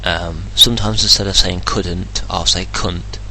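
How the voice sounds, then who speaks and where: An English man rants into his camera in a blunt, indignant way, swearing without a second thought. His voice sits on the low side but has a bright, forceful edge. A faint electrical hum runs underneath. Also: fairly even intonation, unhurried. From England. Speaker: vlogger. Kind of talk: ranting solo vlog.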